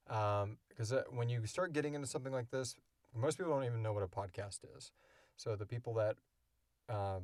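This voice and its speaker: A man's voice: on the low side, darkish tone, no echo, studio quality. A man hosting a podcast, answering questions in a casual, informal, rambling way. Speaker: podcast host and audio drama creator.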